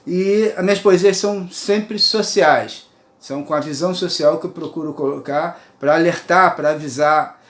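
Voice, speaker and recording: A Brazilian man in his sixties speaks in a fairly high voice, earnest and a little rambling, as he shares his views from home. He keeps turning to his listeners to check they are following. The sound is roomy, with the echo of a bare room at home. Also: moderate pace, fairly bright tone. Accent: Brazilian Portuguese. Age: 60s. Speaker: poet and writer sharing views. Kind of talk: earnest personal monologue to camera. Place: his apartment.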